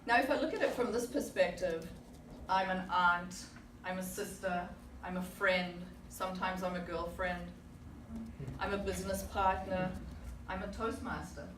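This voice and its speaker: Low-voiced woman speaking in an echoey room. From South Africa. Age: 40s. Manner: reflective, rhetorical questions with pauses. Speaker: club speaker. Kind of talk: prepared public speech. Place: meeting room with audience. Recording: echoey room, distant mic, background noise.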